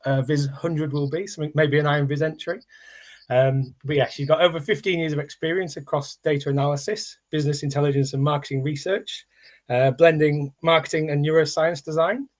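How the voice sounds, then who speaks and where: Guy introducing a speaker, casual, bit hesitant, voice a little on the high side. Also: darkish tone. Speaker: host introducing a guest speaker.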